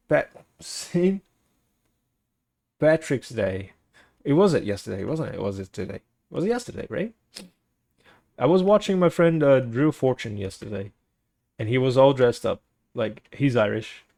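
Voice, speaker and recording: A man's voice: medium pitch, vocal fry, a little mellow. A young man, a native Portuguese speaker with a Portuguese accent, chatting casually with stream viewers, rambling, searching for words and laughing often.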